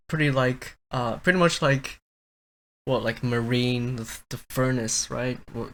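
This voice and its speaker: A young man hosting a casual podcast, telling stories in a rambling, halting way. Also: mid-range voice, a little hiss or hum. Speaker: podcast host.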